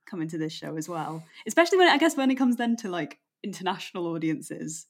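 A woman's voice: on the low side, big pitch swings, quick pace. A woman interviewing in a casual, conversational way, feeling out her thoughts in tentative, interested, broken phrases.

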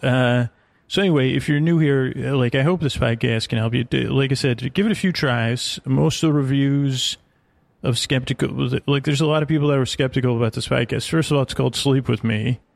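Male sleep podcast host, gravelly fry, close dry sound, meandering and self-interrupting bedtime rambler. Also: medium pitch, brisk, no echo. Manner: meandering, hesitant, self-interrupting, trailing off into tangents.

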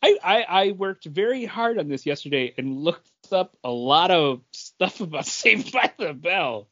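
A man hosting a casual podcast quiz in a halting way, repeating himself as he goes. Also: on the high side, very animated, a bit bright. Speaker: podcast co-host and quizmaster. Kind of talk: casual chat, running a trivia quiz. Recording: dull, band-limited lo-fi audio.

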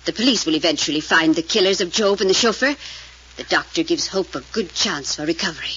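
Sharp, husky, imperious old matriarch. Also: female voice, on the low side, fast talker. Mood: stern, indignant. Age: older. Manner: haughty, formal, dismissive. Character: stern, imperious old grandmother, proud family matriarch. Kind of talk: 1940s radio drama acting. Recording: muffled old radio recording.

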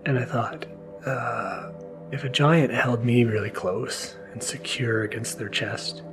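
A man speaking as a podcast host in a casual, intimate monologue, hesitant and soft-spoken. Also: medium pitch, slow pace. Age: adult, new father. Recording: mellow music underneath. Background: soft mellow music.

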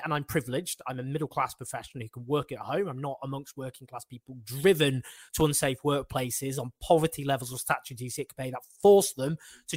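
A man hosts a political talk show, speaking in a fairly high voice with animated emphasis. The sound is dry and close, with no room around it. He argues his points quickly and forcefully. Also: no room sound. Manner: animated, emphatic, fast-talking. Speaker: host. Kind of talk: political discussion.

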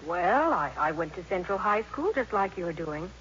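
An actress playing an indulgent young mother, reminiscing with gentle wryness in a 1950s radio drama. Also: fairly low pitch, big pitch swings, normal speed, slight background noise. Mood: nostalgic. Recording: muffled vintage radio broadcast with music.

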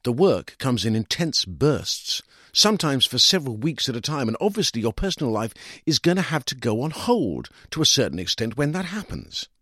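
A man's voice: medium pitch, big pitch swings, normal speed, fairly bright tone, no echo, clean studio sound, pitched above the performer's own voice. A man reading a short story as a smug, condescending middle-aged divorced lawyer with an English accent, argumentative and self-justifying. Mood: self-satisfied. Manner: opinionated, condescending, emphatic. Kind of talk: dramatised first-person short story reading.

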